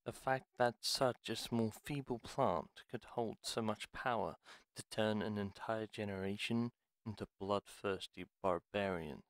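A man playing a gruff, wary retired pharmacist in a fantasy audio roleplay, speaking in a formal, deliberate, theatrical way. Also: on the low side, dry recording. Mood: grave, storytelling. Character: gruff, reluctant retired male pharmacist, courteous but wary. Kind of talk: dramatic audio roleplay monologue.

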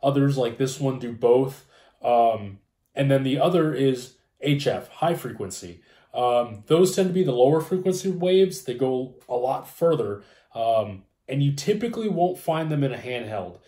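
A man's voice: medium pitch, expressive, moderate pace, warm tone. A man in his thirties chatting casually and informatively as he explains things as a co-host, recorded in a room at home. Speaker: co-host explaining ham radio. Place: small room at home, indoors. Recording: roomy, echoey home room.

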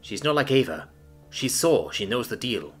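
A man voicing a huge, boisterous young man who talks loudly and eagerly and jokes crudely. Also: mid-range voice, very animated, moderate pace, husky, soft background music. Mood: reassuring. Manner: loud, eager, crude joking.

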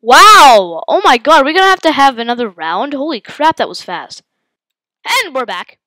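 Congested, excitable woman gamer. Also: high voice, moderate pace, dry recording. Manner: excitable, yelps and exclaims at the game. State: congested with a head cold. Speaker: gaming channel host. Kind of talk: live gameplay commentary.

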